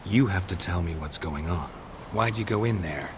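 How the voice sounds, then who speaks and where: An actor playing a gruff, grizzled monster hunter in a video game, speaking in short, flat lines. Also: male voice, normal speed, background noise, natural voice. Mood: dry. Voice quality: low, flat, terse delivery.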